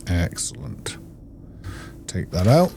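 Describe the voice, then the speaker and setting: A man's voice: low voice, huge pitch swings, takes it slowly, creaky, background noise. A man giving casual gameplay commentary, musing and thinking aloud to himself. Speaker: gamer, let's play host.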